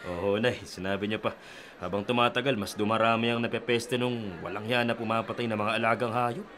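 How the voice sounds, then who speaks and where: A man's voice: on the low side, fairly even intonation, fairly quick, a bit bright, close to an everyday voice. A young man playing a dutiful son who works as a police officer, speaking in a friendly, respectful, matter-of-fact way in a radio drama. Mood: serious.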